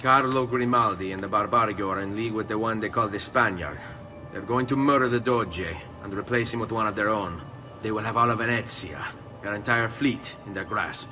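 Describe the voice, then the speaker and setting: An actor playing a serious, confident young assassin with an Italian accent, speaking tersely in a video game. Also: male voice, lowish voice, a little fast, far from the mic, music in the background, natural voice. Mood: urgent. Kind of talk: dramatic video game acting.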